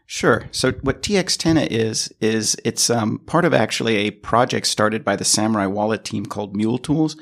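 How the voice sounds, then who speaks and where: An American man from California, explaining things conversationally as an interview guest, thoughtful and halting, often correcting himself. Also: on the low side, a bit bright. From California, United States. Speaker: podcast guest, product engineer.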